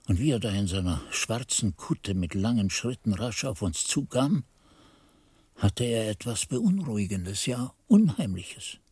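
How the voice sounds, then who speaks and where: Casting: earnest monk storyteller, radio play narration. Warm, slightly husky tone, very animated intonation, literary delivery. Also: male voice, on the low side, unhurried, no echo. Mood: awed, uneasy. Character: monk narrator, devoted pupil recalling his master. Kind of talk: scripted radio drama narration.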